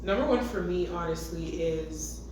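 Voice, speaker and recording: A young woman with a raspy, fairly low voice chats casually as a podcast co-host and laughs easily. She sits some way off the mic in a very echoey room with a clear hiss of noise behind her. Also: moderate pace, distant mic. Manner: laughs often, relaxed. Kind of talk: casual chat.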